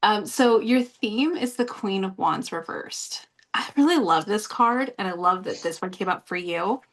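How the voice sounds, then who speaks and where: A woman's voice: medium pitch, animated, normal speed. A woman hosting a show, reading tarot for a guest in long, flowing, encouraging talk. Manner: talkative, warmly encouraging, talks in long flowing runs. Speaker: host and tarot reader. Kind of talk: casual chat, giving a tarot reading.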